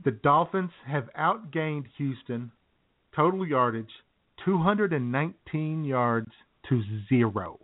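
A man co-hosting a radio show, relaxed and chuckling through casual banter. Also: on the high side, slow. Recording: tinny phone-like sound.

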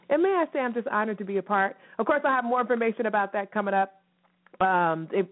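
A woman hosting a radio show in a lively, chatty way, her voice slightly hoarse and raspy from a recent cough. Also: fairly low pitch. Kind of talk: casual radio chat. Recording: phone-line call-in radio.

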